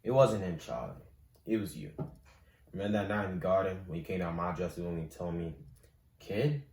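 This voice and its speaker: A man acts out a wounded, washed-up boxer who fell in with gangsters, in a lowish voice. He pleads and reproaches in streetwise phrases, like a dramatic film monologue. The room echoes around him. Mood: bitter, accusing. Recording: echoey room with background noise.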